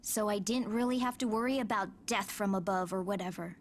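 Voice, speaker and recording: A woman's voice: mid-range voice, fairly quick, a little hiss or hum, lightly acted. A woman playing a sassy, impatient female fighter in a video game, with a flippant delivery. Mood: flippant, annoyed. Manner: flippant, grumbling. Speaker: voice actor in a video game cutscene. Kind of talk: game dialogue.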